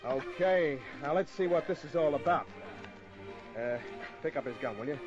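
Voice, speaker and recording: An actor playing a tough, wisecracking private detective in a clipped, dry, world-weary manner, in vintage radio noir style. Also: male voice, on the high side, expressive, moderate pace, music in the background, natural voice. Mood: hard, commanding. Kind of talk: 1950s radio noir acting and narration. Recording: dull vintage radio recording.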